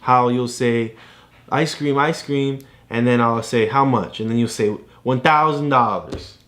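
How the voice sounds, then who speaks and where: A man's voice: mid-range voice, a little slow, a bit bright. A man patiently explaining things to a child as a father during a read-aloud, teaching with questions and examples. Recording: roomy, echoey home recording.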